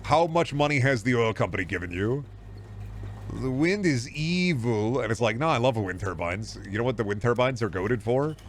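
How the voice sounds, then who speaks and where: A man's voice: mid-range voice, expressive, moderate pace. American man rambling sardonically over background noise. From Iowa, US. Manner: rambling, sardonic, repeats words for emphasis. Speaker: game streamer. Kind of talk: casual livestream chat.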